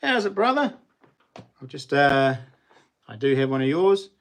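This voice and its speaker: Chatty New Zealand man, slightly high voice. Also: sing-song, a little slow. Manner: chatty, rambling, laughs often, slangy. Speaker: livestream host. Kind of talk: casual livestream chat with viewers.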